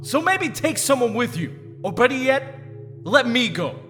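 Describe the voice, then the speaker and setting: An actor playing a hot-headed young superhero team leader in an audio drama, combative and sarcastic. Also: male voice, extremely high pitch, big pitch swings, measured pace, bright, forceful tone, long reverb tail, soft background music, exaggerated voice. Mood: angry.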